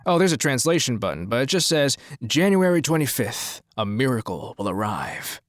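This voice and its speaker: An actor playing a persuasive, scheming rich promoter in an audio drama, talking in a businesslike way. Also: male voice, mid-range voice, big pitch swings, moderate pace, no echo, natural voice. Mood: pleased.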